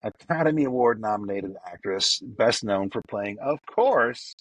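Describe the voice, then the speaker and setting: A man with a low, husky voice hosts a fan podcast, piling praise into casual shout-outs. His delivery is effusive and rambling, padded with fillers. The sound is dry and close, with no room around it. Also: expressive, measured pace, no echo, rough recording. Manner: effusive and enthusiastic, loose with fillers. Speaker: podcast host.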